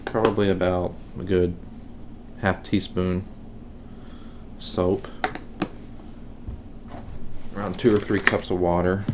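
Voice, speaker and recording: A man giving a casual, unscripted how-to demonstration, talking haltingly and unhurriedly as he works. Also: low voice, little pitch movement, noisy background. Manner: unhurried, halting, thinking aloud while working. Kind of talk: casual unscripted hands-on tutorial.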